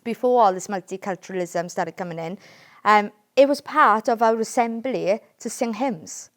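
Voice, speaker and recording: A woman's voice: mid-range voice, moderate pace. Earnest Welsh woman, emphatic. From Wales. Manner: earnest and emphatic, keeps asking "right?". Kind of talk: casual political discussion.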